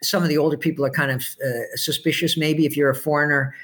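Measured, relaxed Canadian-raised man. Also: fairly high pitch. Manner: relaxed, measured, with frequent hesitations and chuckles. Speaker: podcast guest, expat in Budapest. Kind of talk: casual interview answers. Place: home, remote podcast call.

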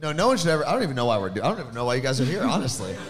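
A man's voice: mid-range voice, sing-song, racing. A young man hosting a live podcast before an audience, chatty and joking. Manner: laughing, jokey banter. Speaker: radio host.

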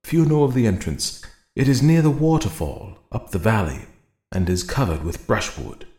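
A man voicing a young male gaoler in a storybook reading, talking in a measured, quietly calculating way. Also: fairly low pitch, sing-song, reverberant. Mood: cautious.

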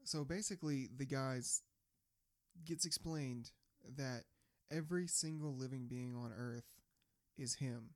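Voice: medium pitch, a little slow, no echo, studio quality. A podcast host chatting casually, blunt and teasing, swearing freely and laughing a lot.

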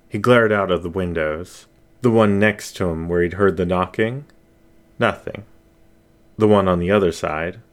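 A man narrating a scripted story in a dramatic, suspenseful and expressive way. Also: deep voice. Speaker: story reader.

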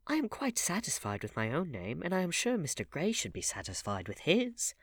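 Man voicing a teasing duchess, raised lilting pitch. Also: wildly swooping pitch, fairly quick, a bit bright, breathy edge, no echo, clean studio sound, heavily acted. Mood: coy protest. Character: pretty, teasing, quick-witted English duchess.

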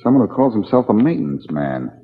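An actor playing a cocky, quick-witted, wisecracking amateur sleuth in the manner of 1940s radio drama. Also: male voice, on the low side, big pitch swings, breathy edge. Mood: cool, assured. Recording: muffled, echoey 1940s radio recording.

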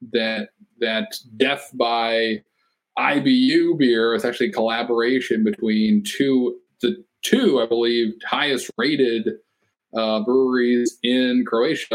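A man's voice: medium pitch, fairly even intonation, takes it slowly, poor audio. A man co-hosting a casual beer podcast who talks enthusiastically and throws in short reactions. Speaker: podcast co-host.

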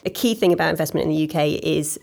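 Woman on a panel, low gravelly voice, earnest, lots of ums. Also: racing, darkish tone. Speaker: panel guest. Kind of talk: panel discussion on economics.

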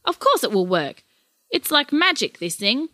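Lazy grumpy old grandad, a lady reading it out, gruff, dry close sound. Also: high voice, big pitch swings, normal speed, bright, forceful tone, dry recording, pitched above the performer's own voice, exaggerated voice. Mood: smug. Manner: gruff, dismissive.

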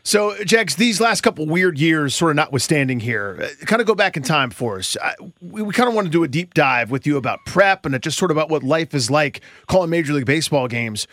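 A man's voice: on the high side, a bit bright, no room sound. A man co-hosting a sports show, asking questions in casual chat. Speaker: sports show co-host, interviewer.

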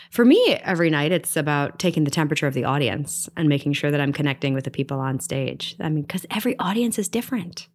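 A woman with a notably low, deep speaking voice talks through her stage stories in an easygoing interview. She is chatty and animated, leaning on "you know" as she goes. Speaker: Broadway actress, guest. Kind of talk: conversational storytelling interview.

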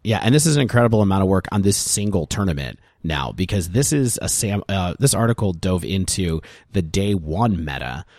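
A man with a very low voice talks through figures at length on a podcast. He is thoughtful and casual, recorded close with a dry, dead sound. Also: very animated, a little fast, few pauses, darkish tone, dry recording. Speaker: podcast co-host.

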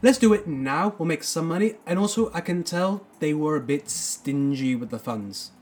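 A man's voice: on the high side, lively intonation, moderate pace, darkish tone. A man co-hosting a casual film review podcast, rambling enthusiastically with lots of fillers. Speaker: podcast co-host.

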